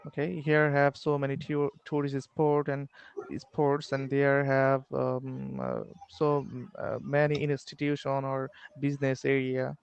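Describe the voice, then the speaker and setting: Bangladeshi man, English learner on a video call, gravelly yet smooth, dry sound, hesitant and full of fillers. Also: medium pitch, completely flat delivery, dry recording, dull audio. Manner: halting, many fillers and restarts. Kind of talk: online English lesson conversation.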